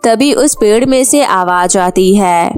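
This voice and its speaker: A woman's voice: mid-range voice, takes it slowly, a little mellow, faint music behind. A woman storyteller reading scripted narration for a children's moral tale.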